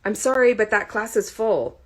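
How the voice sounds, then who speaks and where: A woman's voice: medium pitch, animated, moderate pace, voice pushed up, natural voice. A woman voicing a stern, exasperated female college professor with a firm, controlled manner in an audiobook. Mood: strained patience.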